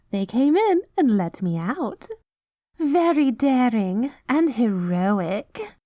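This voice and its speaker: A woman's voice: sits high, darkish tone, no echo, clean studio sound, exaggerated voice. A woman playing a vain, haughty good queen in a scripted audio roleplay, prim and affected, with false sweetness and grand self-righteousness. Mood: airy, smitten.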